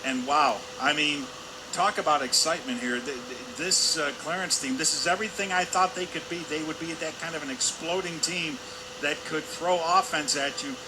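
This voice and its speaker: A man's voice: on the high side, moderate pace. A man giving live color commentary on a hockey game in a conversational, discursive manner. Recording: clear arena noise behind voice. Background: hockey arena ambience.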